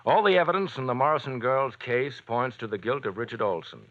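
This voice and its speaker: A stern district attorney who is frank and presses hard, played by a man. His voice is bright and firm, and his delivery is measured. The old radio recording sounds muffled. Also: mid-range voice, close to an everyday voice. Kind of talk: 1940s radio acting.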